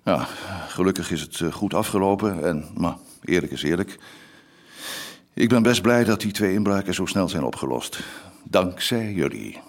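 Stern, animated father. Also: sits low, moderate pace. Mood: softening. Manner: scolding, authoritative. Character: stern but fond middle-aged father, policeman. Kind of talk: radio drama acting.

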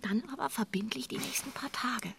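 An actress playing an earnest, agitated, idealistic wife in a naturalistic radio drama, stammering and urgent, often dropping into hushed tones. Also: female voice, a little high, moderate pace, creaky, noisy background.

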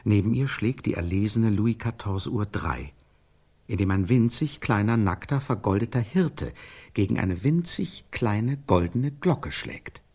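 A man's voice: lowish voice, lively intonation, measured pace, a little mellow, breathy edge, heavily acted. An actor playing an intense scriptwriter who improvises a melodramatic scene in a stylised radio play, searching for the words as he narrates. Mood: narrating, suspenseful. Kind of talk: stylised radio drama acting.

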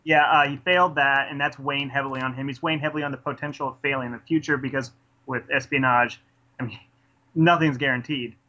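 A man's voice: medium pitch, fairly even intonation, fast talker, pushed and bright. A man casually reviewing a film in conversation, enthusiastic and rambling, often restarting his sentences. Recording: dull lo-fi podcast audio.

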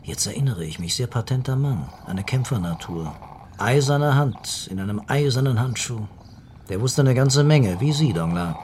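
An actor playing a brisk, probing police commissioner in a radio crime drama, speaking in terse questions. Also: male voice, mid-range voice, a little mellow, a little hiss or hum, lightly acted.

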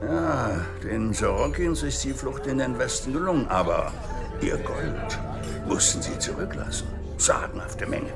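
A man's voice: mid-range voice, very animated, unhurried, gravelly, over music. A man playing a boastful, embittered army general in a radio drama, pompous and patronising, given to confiding asides. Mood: patronising, conspiratorial.

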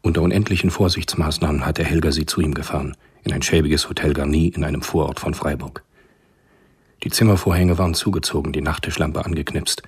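An actor narrating a German radio spy thriller in a measured, scripted style. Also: male voice, very deep voice, breathy edge, heavily acted.

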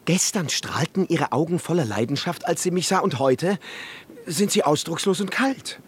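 An actor playing a lovesick, excitable young cadet in a German radio drama, speaking in a theatrical, plaintive, exclamatory way. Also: male voice, on the high side, moderate pace, a little hiss or hum, natural voice. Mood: lovesick, exasperated.

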